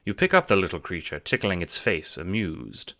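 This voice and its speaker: A man narrating an audiobook-style drama in the second person with an expressive storytelling delivery. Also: deep voice, normal speed, gravelly.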